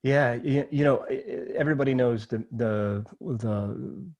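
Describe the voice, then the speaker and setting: A middle-aged man from Maine, a podcast guest telling personal stories casually and informally, often halting and repeating words. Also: medium pitch, a little mellow, no echo. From Maine, United States.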